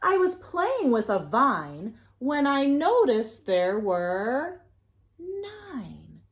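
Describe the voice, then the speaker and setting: A woman giving an expressive, sing-song picture-book read-aloud for young children, pausing to prompt her listeners. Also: high voice.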